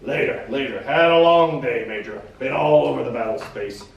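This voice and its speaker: A man voicing a loud, self-satisfied male army general who talks in a booming, dismissive way, in a roomy hall away from the mic. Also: normal speed, creaky, far from the mic, muffled recording, a little hiss or hum, natural voice. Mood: brusque.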